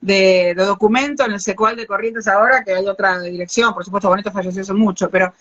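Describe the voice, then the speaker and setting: A woman's voice: medium pitch, quick pace, lo-fi sound. An older woman from Argentina with a Rioplatense accent, a biologist hosting a live interview and telling stories with many restarts. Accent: Rioplatense Spanish. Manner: animated storyteller, many restarts. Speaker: host, biologist. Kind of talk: live interview, casual chat. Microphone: video call.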